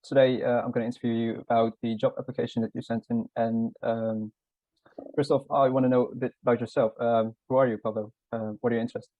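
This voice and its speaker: A man conducting a casual job interview, relaxed and informal, with frequent fillers. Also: medium pitch, fast talker, no room sound. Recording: dry, dull lo-fi audio.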